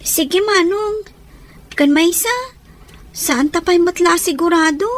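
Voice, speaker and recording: A woman's voice: very high-pitched, slow pace. A woman playing an earnest young schoolgirl in an audio drama, speaking deferentially as a trusting little sister. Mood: earnest, worried.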